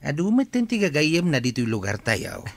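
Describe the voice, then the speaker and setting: A man's voice: on the high side, sing-song, normal speed. An actor playing a concerned, coaxing elderly husband and father in a Philippine radio drama. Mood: relieved. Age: older.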